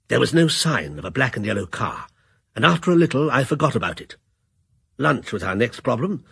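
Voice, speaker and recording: Persuasive banker, husky and gravelly, lively pitch, dry sound. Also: male voice, no echo, exaggerated voice. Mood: narrating. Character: middle-aged male banker, smooth and persuasive. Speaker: narrator and character. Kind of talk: radio drama.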